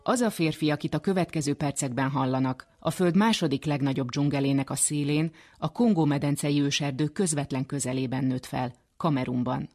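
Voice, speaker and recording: A man presents a scripted radio documentary. His voice sits a little high for a man, and music plays behind him as he narrates. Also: fairly even intonation, few pauses, darkish tone.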